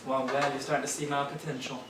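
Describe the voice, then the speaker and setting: An actor playing a meek, earnest junior writer in a stage play, speaking hesitantly and sounding easily intimidated. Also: male voice, medium pitch, level tone, quick pace, lightly acted. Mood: eager. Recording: echoey stage, distant mic, clear noise.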